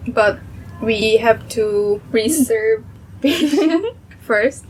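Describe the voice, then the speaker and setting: A nineteen-year-old woman from South Korea, an English student guest answering questions in simple, halting non-native English. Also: a little high, animated, a little hiss or hum, low-quality recording. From born in South Korea. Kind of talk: casual interview answers.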